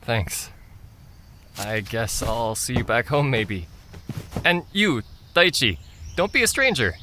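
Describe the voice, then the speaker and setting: A woman playing an unsure but excitable young brother in an audio drama. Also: a bass voice, slow pace, background noise, heavily acted. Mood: subdued. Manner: hesitant, earnest.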